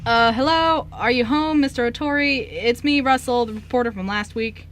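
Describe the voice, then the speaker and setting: A woman's voice: on the high side, normal speed, over music, voice pushed up, close to an everyday voice. A woman playing a polite, helpful male newspaper reporter in an amateur radio play, with a hesitant, caring way of talking. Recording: background room noise.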